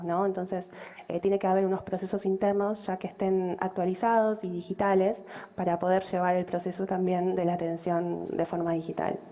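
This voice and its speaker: A woman's voice: on the low side, dark tone. A woman speaking as a conference panelist, direct and matter-of-fact.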